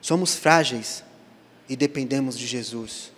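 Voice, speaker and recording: Brazilian pastor preaching in a reverberant church. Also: male voice, unhurried. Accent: Brazilian Portuguese. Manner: swings from hushed low lines to loud raised emphasis, acting out the dialogue. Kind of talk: sermon. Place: church sanctuary.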